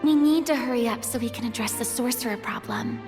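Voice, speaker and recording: Cautious young game heroine, played by a woman, high and earnest, reverberant, faint music. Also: fairly quick, close to an everyday voice. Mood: anxious. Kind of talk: video game voice acting.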